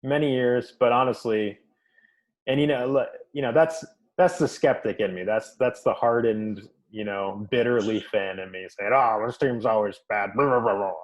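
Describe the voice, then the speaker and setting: A man hosting a podcast, talking in a relaxed, chuckling way with lots of hesitant fillers. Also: medium pitch, moderate pace. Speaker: podcast host. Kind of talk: casual chat.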